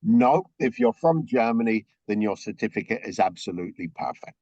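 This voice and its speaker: A man with a slightly croaky voice briefing a group on a video call, explaining things step by step and checking in with frequent okays. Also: lowish voice, animated, moderate pace, warm tone, dry recording, dull audio. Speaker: orientation presenter.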